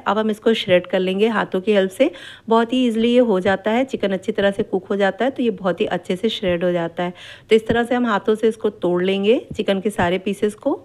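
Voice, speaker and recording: A middle-aged woman talks viewers through a home recipe in a patient voice-over. She explains every step in a steady, instructive way and goes back over amounts. Her pitch sits in the ordinary middle for a woman. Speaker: cooking channel host. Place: home kitchen.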